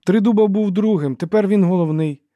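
A man voicing a mild, friendly peacemaker among rough warriors in an audiobook, with a placating, pleading manner. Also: high voice, a little fast, mellow, no echo, clean studio sound, voice pushed up, close to an everyday voice. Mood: eager agreement.

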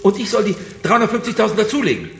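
An actor playing an arrogant banker held captive, alarmed and indignant, in a radio crime drama. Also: male voice, sits high, very animated, very fast, gravelly, heavily acted. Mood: incredulous. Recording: echoing room with music behind.

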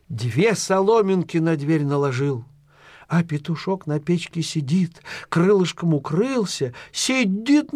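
A man's voice: fairly high pitch, a little mellow, no echo. A man narrating a children's fairy tale in a folksy, theatrical storytelling style.

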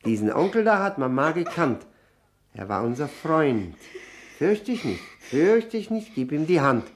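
A man's voice: mid-range voice, a little hiss or hum. An actor in a German radio drama playing a nervous, servile drinking widower, stammering, flustered and repeating his words. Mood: coaxing.

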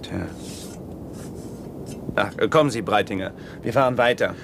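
An actor playing a weary, matter-of-fact middle-aged official in a 1970s German science-fiction radio play, laconic and dryly resigned. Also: male voice, on the low side, a little slow, vocal fry. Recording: noisy 1970s radio drama recording, hum.

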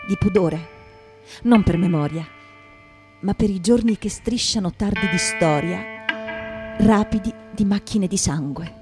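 A woman with a mid-range, mellow voice performs poetry as radio theatre. She sets out each short phrase separately and with measure. A guitar is faintly heard behind her. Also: mid-range voice. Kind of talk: dramatic poetry recitation. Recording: voice over acoustic guitar music. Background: acoustic guitar.

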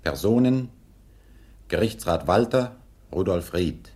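A male radio announcer reads in a formal, scripted style. His voice is low and deep, in the manner of a credits reading. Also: animated, slow, a little mellow.

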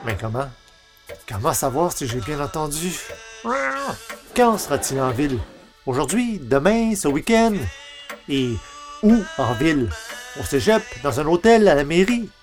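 A man playing an excitable, geeky newspaper columnist in an old-style radio comedy, theatrical and exclamatory. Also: on the high side, a little slow, pauses often, soft background music. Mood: agitated. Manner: theatrical, exclamatory, muttering to himself.

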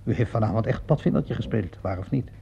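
A mocking male police inspector in a radio play. His mellow voice swings widely in pitch as he patiently needles with ironic questions. The recording is dull and lo-fi. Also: lively intonation, fast talker, creaky, slight background noise. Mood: mocking, stern. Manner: drawling, ironic questioning with little interjections. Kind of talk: radio play acting in Dutch.

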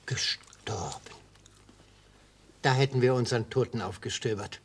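An actor playing a wily, gleeful retired prosecutor in a German radio play, an older man with a husky voice and a florid, probing way of talking that drops into whispers. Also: medium pitch, measured pace, a little hiss or hum, lightly acted. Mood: gleeful, sly.

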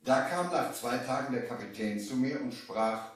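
Man acting as young merchant narrator, mid-pitched, theatrical storytelling, 1970s radio play, very echoey record. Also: lively intonation, moderate pace, natural voice. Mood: narrating, suspenseful. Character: young male merchant narrating his sea adventure. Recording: very echoey, slightly distant vinyl transfer.

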